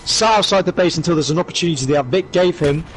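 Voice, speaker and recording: A man commentating an esports match play by play, hoarse from shouting, with quick excited calls. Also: on the high side, low-quality recording. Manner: rapid excited calls with rising bursts. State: hoarse, losing his voice.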